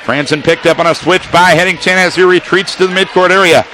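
A man calling basketball play-by-play for radio, his voice high and bright as he tracks each pass, the score and the clock. Faint arena noise sits behind him. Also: fairly even intonation, quick pace. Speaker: play-by-play announcer.

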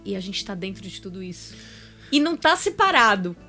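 A woman's voice: medium pitch, sing-song, brisk, sharp tone, soft background music. A woman with a Brazilian accent talking emphatically as a guest in a casual podcast conversation.

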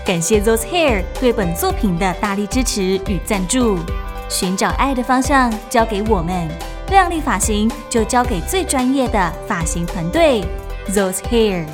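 A woman's voice: medium pitch, expressive, unhurried, over music. A woman announcer reading a scripted promotional introduction for an audio drama.

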